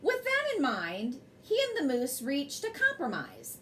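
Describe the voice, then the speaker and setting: A woman reading a children's picture book aloud as a bedtime story, in an expressive, measured way. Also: high-pitched, slight background noise.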